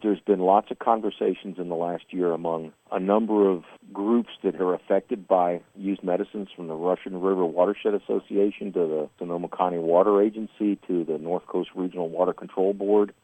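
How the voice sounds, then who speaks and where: Low-voiced man, dull lo-fi recording. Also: steady tone, fairly quick, no room sound. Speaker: agency executive director, interviewee. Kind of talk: interview talk. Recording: dull lo-fi interview clip.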